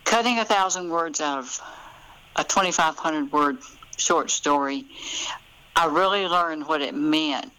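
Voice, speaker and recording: A woman's voice: low voice, a little slow, long pauses, pushed and bright, clear, resonant tone, low-quality recording. An older American woman, telling stories conversationally as an author being interviewed.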